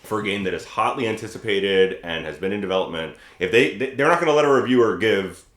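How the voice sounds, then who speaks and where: A low-pitched man speaks as a podcast co-host in a casual, analytical way. He thinks aloud and carefully qualifies his points. The sound is clearly roomy and echoey. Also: expressive, a bit bright. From United States. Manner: hedging, measured, thinks aloud.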